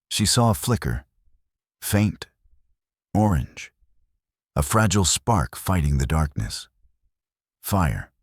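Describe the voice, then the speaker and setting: A man with an extremely low voice narrates a frontier tale. His delivery is solemn and suspenseful, with deliberate dramatic phrasing, and the sound is close and dry. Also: dry recording. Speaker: storyteller, narrator. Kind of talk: dramatic scripted western story narration.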